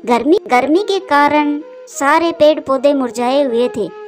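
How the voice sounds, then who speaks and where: A woman's voice: sits high, unhurried, soft background music. A woman reading scripted narration for a children's moral-story cartoon.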